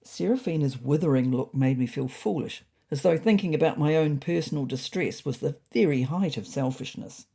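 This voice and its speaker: A woman's voice: deep voice, normal speed, creaky, few pauses, mellow, husky. A woman narrating a scripted first-person story in a wry, understated manner.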